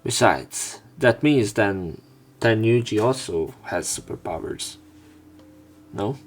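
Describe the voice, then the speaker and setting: A man reading visual novel text aloud as a let's play narrator, in measured short phrases. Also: fairly low pitch, expressive. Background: game music.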